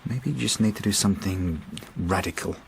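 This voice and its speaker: A man's voice: sits low, brisk, creaky, slight background noise. A man playing a kindly, practical butcher in a naturalistic radio drama, speaking in plain, short questioning phrases. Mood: concerned, practical.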